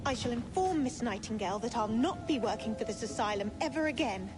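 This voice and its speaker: An actress voicing a polite, flustered Victorian nurse in a video game, with a genteel manner. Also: female voice, fairly high pitch, lively intonation, brisk, lightly acted. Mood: grateful, coy. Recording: game audio under loud music.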